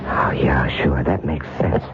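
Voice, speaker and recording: A man's voice: very deep voice, measured pace. An actor playing a determined, anxious young man in mortal trouble in a radio drama, earnest and urgent. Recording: muffled, noisy old radio drama recording.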